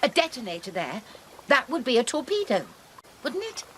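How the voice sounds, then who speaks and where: A prim, lively missionary lady. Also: a little high, moderate pace, slight background noise. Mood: determined. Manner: prim, insistent, proper. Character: prim, determined missionary spinster. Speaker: actor. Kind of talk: radio drama acting.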